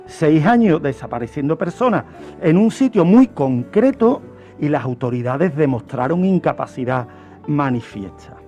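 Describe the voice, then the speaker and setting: A man's voice: a little high, expressive, normal speed, warm tone, faint music behind. A man giving didactic, emphatic explanations in a radio discussion. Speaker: criminology commentator on a radio show.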